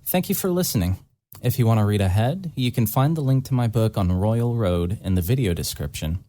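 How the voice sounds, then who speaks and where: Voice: mid-range voice, animated, a little fast, warm tone, no echo, studio quality. A narrator reading a dramatic first-person story in a scripted style.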